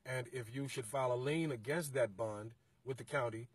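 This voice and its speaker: A middle-aged man, an interview guest, explaining his organization's process in a measured way from the passenger seat of a car, recorded on a phone. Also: mid-range voice, slightly rough, dry recording. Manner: measured, explanatory, some halting restarts. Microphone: phone camera held by the interviewer.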